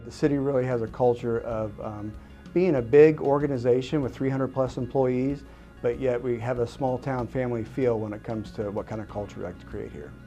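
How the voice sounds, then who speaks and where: Mellow man over faint music. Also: medium pitch, steady tone, fairly quick, highs cut off. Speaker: police department recruiter or manager. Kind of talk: documentary interview, measured explanation. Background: background music.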